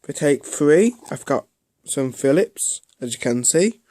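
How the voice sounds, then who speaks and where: A man giving a casual, unscripted product review in a halting way, often repeating himself. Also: fairly high pitch, expressive, dark tone, dry recording.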